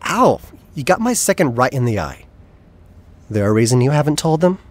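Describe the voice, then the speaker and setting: A flamboyant, loyal male assistant in an audio drama. His pitch leaps around expressively as he banters with playful, theatrical wit. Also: big pitch swings, normal speed. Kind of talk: audio drama acting, conversational.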